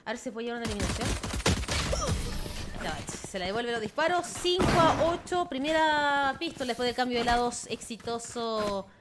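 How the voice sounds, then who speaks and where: A young Chilean woman with a fairly high voice calls an esports match live at home, her accent mostly Chilean with some Argentine turns. She moves quickly from steady play-by-play into excited yelps. Game noise sits clearly behind her desk mic. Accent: Chilean Spanish with some Argentine touches. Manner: excitable, quick bursts of exclamations. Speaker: esports caster. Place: home room, indoors.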